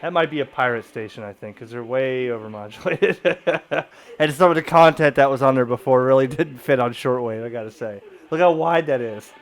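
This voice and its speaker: Chatty man with a smooth voice. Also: medium pitch, normal speed. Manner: rambling, self-correcting, chuckles often. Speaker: ham radio operator and channel host. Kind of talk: casual radio demo talk.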